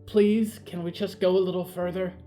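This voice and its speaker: A man's voice: high voice, flat delivery, normal speed, mellow, faint music behind, pitched above the performer's own voice, close to an everyday voice. A man voicing an eager, pleading ghost boy who is a little boastful. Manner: whispering, pleading, calling out.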